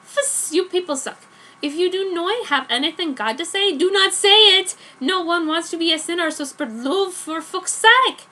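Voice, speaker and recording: A woman's voice: very high voice, moderate pace, bright, forceful tone, voice pushed up. A woman playing a petulant, defensive teenage amateur author, whiny and emphatic, reading out notes to readers. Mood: petulant, excitable. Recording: noticeable background noise.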